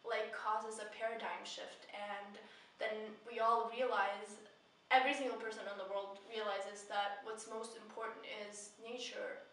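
A woman's voice: mid-range voice, flat delivery, measured pace, a bit bright. A young woman talking casually and earnestly to the camera as a vlogger, rambling a little with heartfelt emphasis. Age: young adult. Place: small indoor room with bare painted walls. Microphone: camera mic at a distance. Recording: echoey room, distant mic, faint noise.

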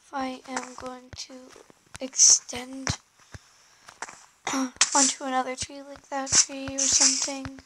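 A woman with a high, scratchy voice from a sore throat talks slowly through her game, pausing on ums and slipping into soft whispers. Heavy background hiss and tapping clicks sit under the recording. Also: monotone. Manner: hesitant, many ums, drifting into soft whispers. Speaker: gameplay commentator. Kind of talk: casual game commentary.

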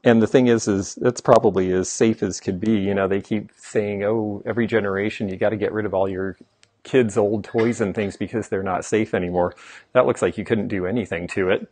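Low-voiced man touring an antique mall. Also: a little mellow, breathy edge, no room sound. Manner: knowledgeable, explaining items as he browses. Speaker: antique dealer and show host. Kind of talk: casual walkthrough vlog. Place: large indoor antique mall.

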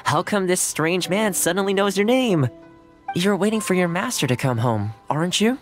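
Gentle, animated young anime-game hero. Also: male voice, sits high, moderate pace. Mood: gentle, encouraging. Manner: gentle, friendly, reassuring. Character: kind, upbeat young swordsman fond of dogs. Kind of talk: video game character dialogue. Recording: music behind the voice.

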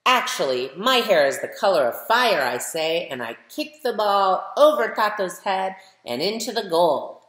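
A middle-aged American woman, a children's author, reads a picture book aloud and speaks directly to young listeners with emphatic, animated delivery. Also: medium pitch, a little slow, few pauses. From United States. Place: medium living room at home, indoors. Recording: roomy, echoey home recording.

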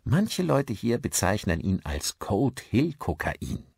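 A hospitable village woman as a male narrator plays her speaks in a raised voice with lively swings. She is a chatty, emphatic neighbour from an audiobook mystery. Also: on the low side, slow pace, creaky, breathy edge, no echo, studio quality, voice pushed up, full character voice. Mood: welcoming.

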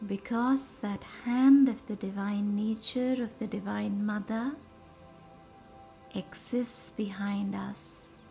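Female meditation guide, unhurried and mellow with a touch of fry, over a music bed. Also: mid-range voice, steady tone. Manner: soothing, measured delivery in short pausing phrases. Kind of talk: guided meditation with slow, reverent visualisation.

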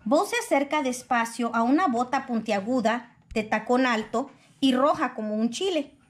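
A woman with a high voice reads a children's picture book aloud. Her delivery is expressive storybook narration. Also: moderate pace.

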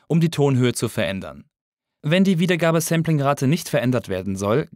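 A man reading a scripted tutorial as an instructor, in a measured, didactic manner. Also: medium pitch, no room sound, clean studio sound. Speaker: audio engineering instructor. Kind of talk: scripted lesson narration.

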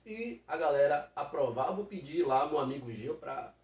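A man's voice: on the high side, animated, normal speed, a little mellow. Brazilian man in an echoey room. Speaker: channel host. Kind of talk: casual vlog outro. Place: small room at home, indoors. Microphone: camera a few feet away. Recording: roomy, distant microphone.

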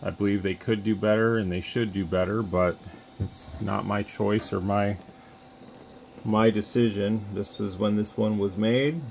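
A man with a very low voice talks plainly and casually through a radio bench demonstration, now and then counting into the mic. Behind him is a faint, steady hum from the room. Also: unhurried, creaky, low-quality recording. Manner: plain, conversational, with frequent restarts and mic test counts. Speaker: radio shop owner demonstrating equipment. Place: radio workbench full of test equipment, indoors.

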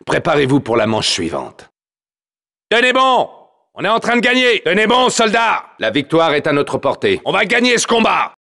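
An actor playing a commanding military announcer in a video game, giving crisp, clipped, authoritative announcements. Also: male voice, sits high, huge pitch swings, moderate pace, bright, forceful tone, exaggerated voice. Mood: urgent, shouting.

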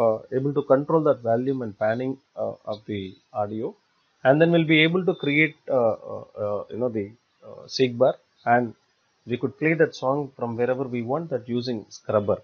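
A man giving a step-by-step software tutorial in a halting, repetitive way. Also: mid-range voice, slightly rough. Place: voice-over for screen recording. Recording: dull, lo-fi screencast audio.

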